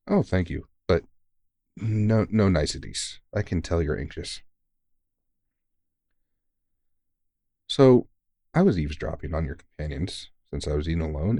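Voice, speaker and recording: Guy acting as tired old-guard vampire hunter, really deep voice, dry sound, kind n teasing, comforting asmr roleplay, swears a bit. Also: dry recording. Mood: warm, concerned. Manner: reassuring, gently teasing, informal, sweary. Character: kindly, weary veteran male vampire hunter mentoring a rookie. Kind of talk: intimate ASMR audio roleplay.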